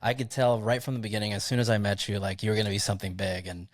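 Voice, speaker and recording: Thirties male guest from Puerto Rico, lower voice, relaxed and chatty, dry studio mic. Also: rapid-fire, no echo. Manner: relaxed, chatty, laughs often, says "like" a lot. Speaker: podcast guest. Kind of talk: casual interview chat. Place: his own podcast studio. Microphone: remote recording over video call.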